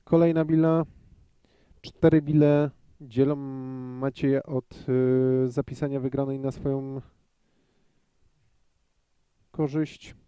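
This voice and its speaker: A man giving live pool commentary in a measured way, thinking aloud with frequent hesitations. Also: medium pitch, flat delivery, dark tone, smooth, no echo, muffled recording.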